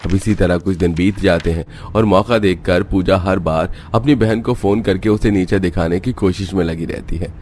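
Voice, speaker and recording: A man's voice: low voice, normal speed, hardly any pauses, darkish tone, slight background noise. A man reading scripted narration for a cartoon story. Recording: music playing behind the voice. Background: background music.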